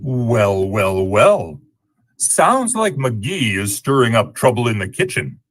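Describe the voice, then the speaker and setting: A man's voice: medium pitch, big pitch swings, takes it slowly, fairly bright tone, faint music behind, exaggerated voice. A man acting as an old-time radio comedy announcer who reads sponsor ads in 1940s style. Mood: wry, showman-like.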